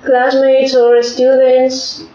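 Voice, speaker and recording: A woman's voice: high voice, completely flat delivery, very slow, warm tone. A woman interviewer asking hesitant questions in English. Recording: echoey, dull audio.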